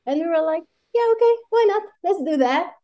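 A woman from Iraq, a pharmacist, telling her story as a guest in an interview with lively asides and many fillers. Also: extremely high pitch, brisk, muffled recording. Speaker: guest, pharmacist running licensure courses. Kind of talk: conversational interview.

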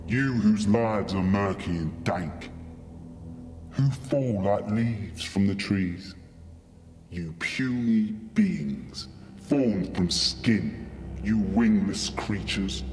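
A man's voice: fairly low pitch, darkish tone. A man playing an ancient, haughty oracle of the birds in an audio drama, declaiming in a portentous, scornful manner. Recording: long reverb, music behind.

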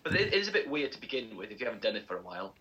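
Guy with a bright bit of edge, super animated, theatrical, laughs a lot. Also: fairly low pitch, racing, dull audio, a little hiss or hum. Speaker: co-host. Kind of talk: casual chat.

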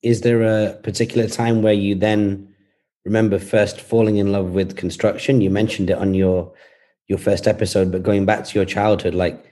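A man, a podcast producer interviewing the host, laying out his questions in a measured, step-by-step way. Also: fairly low pitch, flat delivery, dark tone.

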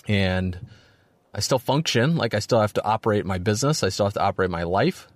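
A man's voice: fairly low pitch, lively intonation, brisk, no room sound. Tired, rambling male podcast host. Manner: rambling, self-deprecating, frequent "like" fillers. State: tired from lack of sleep. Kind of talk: casual solo podcast monologue.

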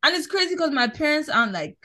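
A young woman from Nigeria co-hosting a podcast, talking animatedly in a casual chat, with quick swings in pitch and loudness. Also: very animated. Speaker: podcast co-host.

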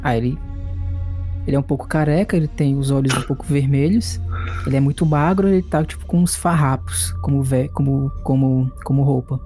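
Crude, joking Brazilian game master. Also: male voice, medium pitch, a little fast, dark tone, faint music behind. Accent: Brazilian Portuguese. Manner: casual, crude joking narration. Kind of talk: narrating a tabletop game.

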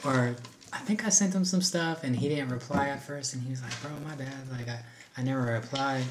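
A young man with a light voice tells stories on a casual podcast. He is animated and laughs a lot, acting out what people said, and his pitch sits in the middle. There is a faint hiss of noise behind him. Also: fairly quick. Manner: animated storyteller, laughs often, quotes himself. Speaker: podcast guest, rapper. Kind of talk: casual chat.

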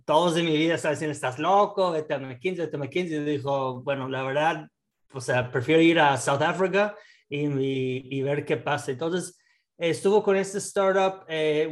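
Guy in his 30s, kinda high voice, hesitant, lots of fillers and restarts, tosses in english words. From born in the United States, raised in Israel. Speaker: guest entrepreneur and investor. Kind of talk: casual interview talk.

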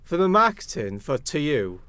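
A man's voice: a little high, sing-song, normal speed, a bit bright, clean tone, dry recording. A man co-hosting a podcast, casually reading out news items and chatting about them. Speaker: podcast co-host. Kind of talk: casual news read-out and chat.

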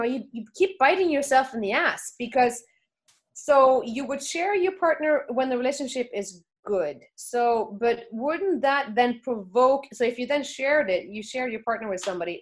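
Woman, fairly high pitch, casual discussion, questioning, opinionated, thinking aloud.

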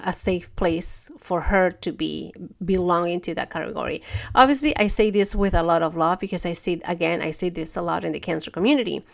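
A woman with a fairly low voice and plenty of creaky fry hosts a podcast in a loose, casual monologue. She sounds stuffy from a cold and talks in an earnest, gentle way, often restarting her sentences. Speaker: podcast host.

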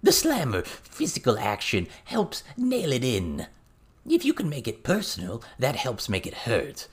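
A man voicing a crude, excitable little male goblin who lectures haltingly and theatrically in an audiobook. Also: mid-range voice, breathy edge, pitched above the performer's own voice, full character voice. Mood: eager, instructive.

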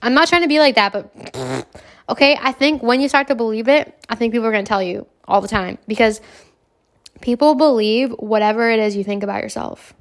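A young woman hosting a casual self-help podcast, hoarse from a cold, talking in a brash, self-assured way and swearing freely. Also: a little high, animated, fairly quick. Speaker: podcast host.

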